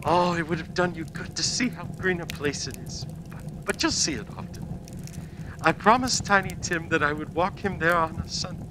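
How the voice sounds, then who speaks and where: A man's voice: fairly high pitch, moderate pace, noisy background, natural voice. An actor playing a mild, grieving father in a radio play, hesitant and tender. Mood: tender, sad. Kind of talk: theatrical radio play acting.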